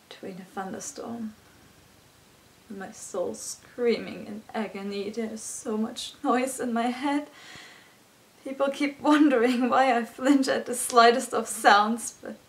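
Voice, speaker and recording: A woman performing her own poem as a dramatic, earnest spoken-word recital, with expressive delivery. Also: fairly high pitch. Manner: expressive, earnest, swinging between hushed and intense delivery.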